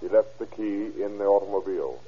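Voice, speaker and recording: An actor playing a dry, methodical official reading a case report calmly in a 1930s radio crime drama. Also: male voice, on the low side, a little fast, breathy edge. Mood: matter-of-fact. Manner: calm, unelaborated, matter-of-fact. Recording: muffled 1930s radio recording.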